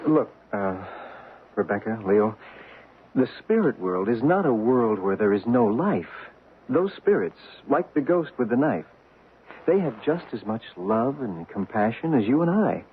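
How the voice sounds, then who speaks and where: An actor in an old radio play as a patient, earnest male spirit medium with a gently persuasive manner. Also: medium pitch, big pitch swings, measured pace. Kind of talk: vintage radio drama acting. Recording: tinny old radio recording, faint hiss.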